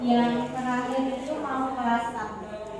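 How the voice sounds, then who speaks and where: A woman's voice: a little high, fairly even intonation, unhurried. A woman in her thirties from Wonogiri with a Javanese accent, giving a chatty health talk to elderly people and often asking them questions. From Wonogiri, Central Java, Indonesia. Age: 30s. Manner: chatty, asks the audience questions. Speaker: health educator at a community health center. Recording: echoey hall, far from mic, crowd behind.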